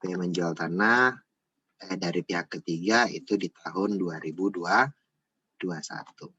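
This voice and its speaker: A man's voice: low-pitched, measured pace, pushed and bright, slightly rough, dry recording, muffled recording. A man giving an informal step-by-step tutorial, repeating himself and often adding small checking tags. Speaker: accounting tutor.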